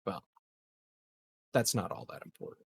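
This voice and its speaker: A man playing an evasive, scheming leader of a space colony in a tabletop roleplay, speaking in a hesitant, hedging, measured way. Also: natural voice. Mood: guarded, persuasive. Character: smooth, evasive, scheming male colony leader in science fiction.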